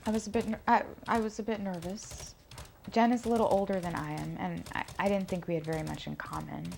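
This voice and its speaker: A woman's voice: medium pitch, fairly even intonation, fast, gravelly, a little mellow, lightly acted. Shy daughter played by a woman, young, hesitant, lightly fried voice, documentary-drama interview, faint background noise. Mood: nervous, guarded. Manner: hesitant, self-correcting.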